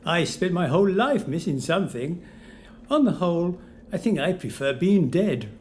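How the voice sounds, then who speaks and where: A man's voice: sits high, big pitch swings, normal speed, a little mellow. A man playing a flippant, rueful ghost of a failed inventor, joking dryly in an audio drama. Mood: wry. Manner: dry, joking, resigned. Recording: faint noise behind.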